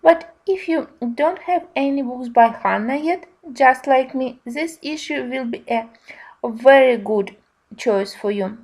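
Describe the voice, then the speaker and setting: A woman giving a casual review narration in simple, slightly halting non-native phrasing. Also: high voice, darkish tone. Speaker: colouring book reviewer.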